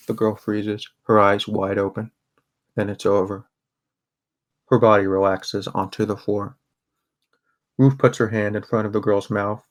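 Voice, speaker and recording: A man narrating a first-person audio drama in a measured, understated way. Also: lowish voice.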